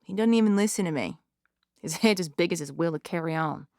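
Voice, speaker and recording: An actress playing a worried, uneasy woman confiding in a friend in an audio drama, with a troubled, expressive delivery. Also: on the low side, brisk, no echo, studio quality, lightly acted. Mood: exasperated. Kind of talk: dramatic dialogue in fiction podcast.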